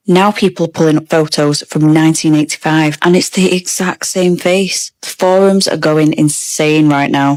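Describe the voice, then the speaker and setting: Female commentator, deep for a woman, no room sound, talking intriguingly and conversationally to viewers. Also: no echo. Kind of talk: social media video commentary.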